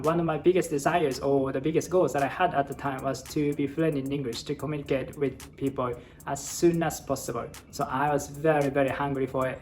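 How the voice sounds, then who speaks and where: Japanese-accented man, earnest english tips. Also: fairly high pitch. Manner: earnest, encouraging, steady explanatory delivery. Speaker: English learning YouTuber. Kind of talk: casual advice talk to camera.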